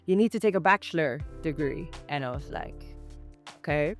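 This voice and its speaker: A young woman from Norway chatting easily on a podcast, telling her story in long relaxed stretches. Her voice sits a little low for a woman. Soft music plays underneath. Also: moderate pace. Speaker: co-host and guest, movement artist. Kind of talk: casual podcast chat.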